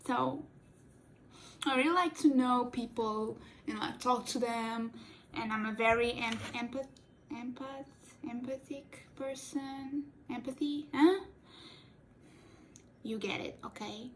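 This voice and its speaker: A young woman, a native Portuguese speaker, chats in a slightly high, unhurried voice. She rambles playfully and keeps correcting herself. It is a home recording from a desk camera, with a faint hiss underneath. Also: low-quality recording. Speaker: cosplay makeup vlogger. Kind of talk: casual chatty makeup tutorial.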